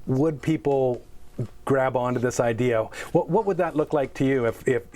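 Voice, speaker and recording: A man's voice: mid-range voice, darkish tone. A man hosts an online interview in an ordinary mid-range voice. He is enthusiastic and rambles a little, circling back and rephrasing as he asks questions over a video call. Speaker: host, artistic director. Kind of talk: interview hosting.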